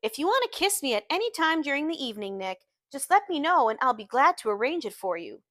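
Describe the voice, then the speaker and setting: Woman reading as Daisy, flirtatious high-voiced socialite. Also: very animated, fast talker, a bit bright, no echo, clean studio sound. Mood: flirtatious, excited.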